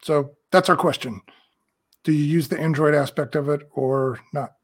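Husky-voiced man in his home workshop. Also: on the high side, steady tone, moderate pace. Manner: easygoing, thinking aloud. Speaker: podcast co-host. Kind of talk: casual hobby podcast chat.

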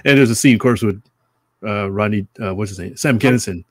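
A man co-hosting a podcast who talks in an animated way and laughs loudly in casual chat. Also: on the low side, a little fast, no room sound, clean studio sound. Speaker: podcast co-host.

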